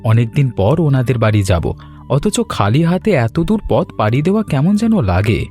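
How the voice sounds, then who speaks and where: A man voicing a polite, good-natured young village husband in an animated Bengali family story, earnest and deliberate. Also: medium pitch, soft background music, natural voice. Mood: earnest, agreeable.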